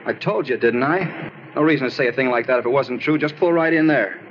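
An actor playing a moody American murder suspect, insistent and naively self-justifying, in a 1950s radio drama style. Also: male voice, mid-range voice, a little fast, close to an everyday voice. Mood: insistent, wounded. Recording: muffled noisy old radio recording.

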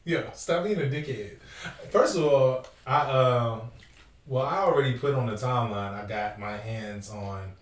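A man's voice: mid-range voice, a little slow, roomy sound, far from the mic. A man co-hosting a casual podcast, laid-back and teasing, laughing often. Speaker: podcast co-host.

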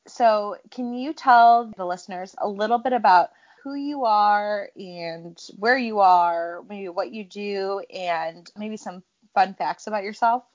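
A woman's voice: mid-range voice, unhurried, hardly any pauses, muffled recording. Friendly woman podcast host. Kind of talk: casual interview chat.

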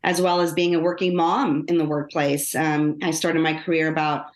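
Middle-aged American woman, low voice, roomy echo. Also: flat delivery, normal speed. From Los Angeles, United States. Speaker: podcast guest, lawyer and author. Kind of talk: conversational personal storytelling in an interview. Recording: roomy, echoing sound.

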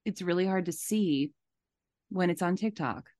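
A woman's voice: lowish voice, normal speed, darkish tone, dry recording, studio quality. A woman hosting a podcast, giving casual, emphatic commentary and repeating phrases for stress. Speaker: podcast host.

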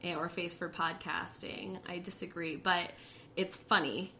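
A woman's voice: lowish voice, steady tone, unhurried, sharp tone, a little hiss or hum. A young woman co-hosting a podcast in casual chat, with a bubbly, light and upbeat way of talking. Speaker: podcast co-host.

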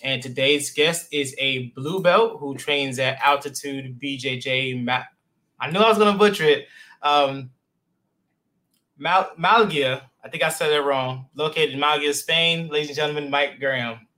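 Upbeat male podcast host, bright and forceful tone, somewhat high voice. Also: moderate pace. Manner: upbeat, friendly, says man and brother a lot. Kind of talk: casual interview hosting.

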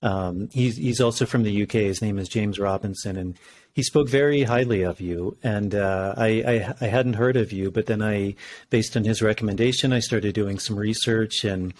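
Low-voiced man hosting a podcast. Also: normal speed, darkish tone, slight background noise. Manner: loose, meandering, thinking aloud. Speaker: podcast host. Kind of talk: casual podcast interview.

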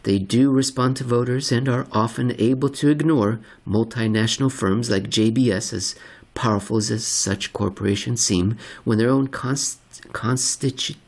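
A man's voice: mid-range voice, normal speed, a little mellow. Man reading an article aloud, theatrically emphatic. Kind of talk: dramatic article reading, scripted narration.

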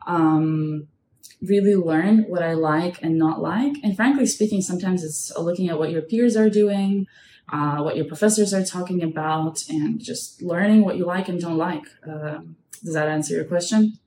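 A young woman from Russia speaks as an interview guest in a conversational way. Her voice is quite low for a woman. The recording is echoey, with faint noise in the background. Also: normal speed, warm tone. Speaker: podcast guest, marketing professional.

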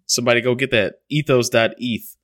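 A man's voice: mid-range voice, steady tone, a little fast, fairly bright tone. A man hosting a podcast, chatting loosely and rambling, dropping a casual swear now and then. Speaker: podcast host. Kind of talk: casual chat.

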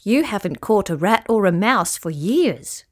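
Woman putting on a cheeky little brown rat guy, slow storybook talk, bouncy pitch. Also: very animated. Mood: chatty. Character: small cheeky male brown sea rat.

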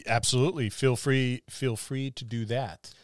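A man with a clear, smooth voice talks casually as a gaming podcast co-host. His pitch is average and the recording is very dry. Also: fairly even intonation, normal speed, no room sound. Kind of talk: casual tabletop gaming discussion.

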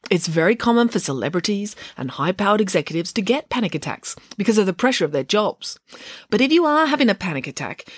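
Know-it-all rich girl voiced by a woman, chatty, blithe, precise, very lively intonation, storybook character. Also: mid-range voice, no room sound, studio quality, pitched above the performer's own voice. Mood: kindly, reasoning. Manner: blithe, precise, matter-of-fact.

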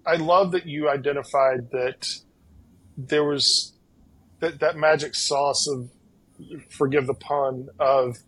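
A man's voice: mid-range voice, husky, rough recording. A man hosting a podcast interview, upbeat and friendly, talking with many fillers. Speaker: podcast host.

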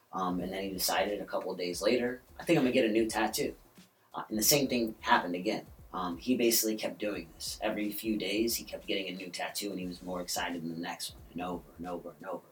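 A man co-hosting a podcast, retelling a news story in a rambling, casual way with lots of ums. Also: low voice. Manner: rambling, with many ums and "basically". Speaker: podcast co-host. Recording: roomy echo, distant from the mic.

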